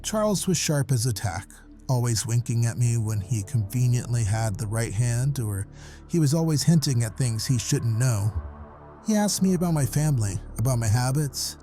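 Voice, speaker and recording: Guy as a folksy janitor caller, mellow dark voice, wry, telling a spooky story, soft music under. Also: medium pitch, animated, moderate pace, lightly acted. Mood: brooding, storytelling. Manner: wry, folksy, matter-of-fact. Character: wry, folksy male night-shift hospital janitor. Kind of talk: horror audio drama, conversational storytelling.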